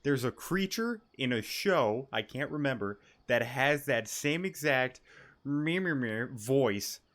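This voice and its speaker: A man's voice: mid-range voice, lively intonation, takes it slowly, fairly bright tone. A man giving casual, rambling and profane commentary while playing a video game. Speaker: gaming streamer. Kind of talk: casual let's-play commentary.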